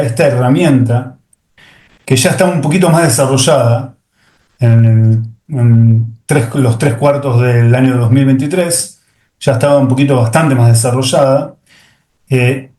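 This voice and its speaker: A middle-aged man, speaking as an interview guest and pausing often with fillers and restarts. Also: medium pitch, dark tone, reverberant. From Argentina. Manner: hesitant, many fillers and restarts. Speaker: guest, software executive. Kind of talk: interview conversation.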